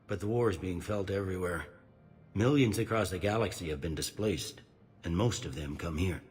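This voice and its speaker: A middle-aged police commander in a video game, acted by a man, with a low voice. He is cynical and tired, speaking plainly and with dry candor. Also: moderate pace, a little mellow, faint music behind, lightly acted. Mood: wry, matter-of-fact. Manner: plain-spoken, wry, world-weary.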